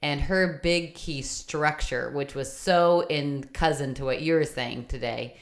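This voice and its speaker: A woman in her late thirties co-hosting a podcast in casual chat, with lots of fillers and quick agreement. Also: deep voice. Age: 30s. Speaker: podcast co-host.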